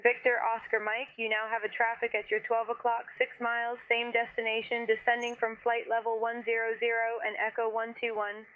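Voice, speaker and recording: Flat air traffic controller over tinny radio. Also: mid-range voice, fairly quick, few pauses, clear, resonant tone, no room sound. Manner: clipped, procedural delivery. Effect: aviation radio.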